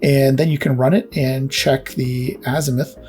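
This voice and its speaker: Male host for a casual tech repair demo: mid-pitched, explanatory, step-by-step delivery with music underneath. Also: steady tone, normal speed, darkish tone. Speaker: host of a retro computing repair channel. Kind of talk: casual hands-on tech demonstration.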